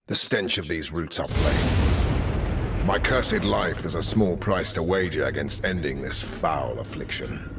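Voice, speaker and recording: An actor playing a grim, noble grandmaster of holy warrior knights in a video game cutscene, solemn and formal, with music behind him. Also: male voice, low voice, unhurried, creaky, heavy background noise. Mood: grave. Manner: solemn, formal, weighty delivery.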